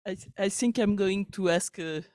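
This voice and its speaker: Man, high and smooth voice, loud audience questioner who restarts often. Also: normal speed, no echo, clean studio sound. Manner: loud, hesitant, stumbling restarts. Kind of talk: conference question.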